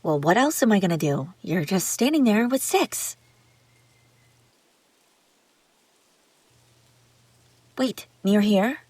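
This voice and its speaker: A woman's voice: medium pitch, big pitch swings, normal speed, a little mellow, slightly rough, no room sound. A woman playing a haughty, bratty young runaway princess in a roleplay audio drama, sarcastic and bickering with the listener. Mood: panicked, exasperated.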